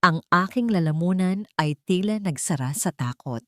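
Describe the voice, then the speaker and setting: Casting: female storyteller for dramatic romance narration, slightly low pitch, very dry studio-like sound. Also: lively intonation, moderate pace, dry recording.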